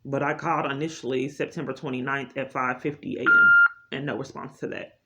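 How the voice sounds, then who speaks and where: A woman's voice: a bass voice, steady tone, brisk, echoey room. A woman speaking unscripted in an insistent, aggrieved way, as if setting out a long personal complaint. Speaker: vlogger recording a personal complaint. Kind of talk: unscripted monologue of grievances. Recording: muffled audio with heavy noise and clicks.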